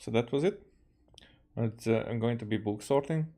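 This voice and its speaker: A man's voice: medium pitch, normal speed, mellow. A man from Hungary casually reacting to a game as he streams, with dry, offhand remarks.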